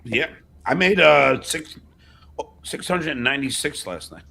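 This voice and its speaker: A man's voice: mid-range voice, animated, unhurried, gravelly, bright, forceful tone, slightly rough. A man hosting a livestream in casual chat, blunt and often swearing. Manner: profane, blunt. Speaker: host of a livestream show.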